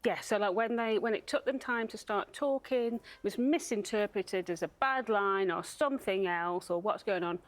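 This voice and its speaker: Woman, medium pitch, explaining stuff as a charity guest on tv. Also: normal speed, few pauses. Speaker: charity spokesperson, interview guest. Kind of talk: explanatory interview talk. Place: TV studio.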